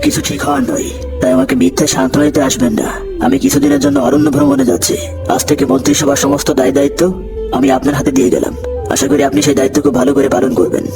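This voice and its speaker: A man's voice: very high-pitched, sing-song, rapid-fire, vocal fry, exaggerated voice. A man voicing a weary, dejected king in a fairy-tale cartoon, formal and gloomy. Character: dejected, weary king handing over his duties. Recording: music playing behind the voice.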